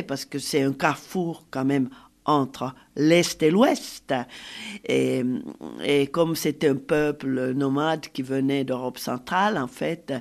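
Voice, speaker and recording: A woman's voice: sits low, darkish tone. A Finnish woman speaking a second language with a Finnish accent, explaining things in an interview with frequent hesitant fillers. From Finland. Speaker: guest, film festival organizer. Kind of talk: conversational interview.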